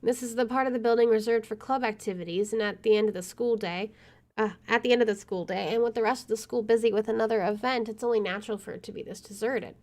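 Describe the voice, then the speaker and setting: A woman's voice: fairly high pitch, fairly even intonation, fast, a little mellow. A woman hosting a gaming video, reading visual novel narration aloud with casual asides.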